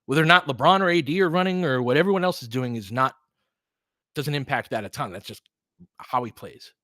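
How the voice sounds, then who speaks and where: A man's voice: mid-range voice, expressive, fairly quick, a bit bright, no room sound, clean studio sound. A man hosting a live basketball stream, giving casual, analytical commentary and chatting with viewers in between short reactions to the play.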